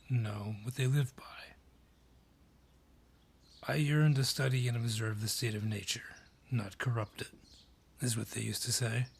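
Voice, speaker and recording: A man acts out a sardonic forest dryad in a fantasy audio drama. He is gruff and world-weary, with a breathy, husky voice. He takes his time over every phrase, like a reluctant keeper of old lore. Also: mid-range voice, exaggerated voice. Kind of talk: fantasy audio roleplay acting.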